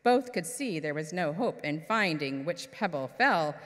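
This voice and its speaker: A woman minister reading a children's story expressively, with dramatic pauses, in a large church hall. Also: sits low, lively intonation. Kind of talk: expressive storytelling for all ages. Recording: echoey hall with long reverb.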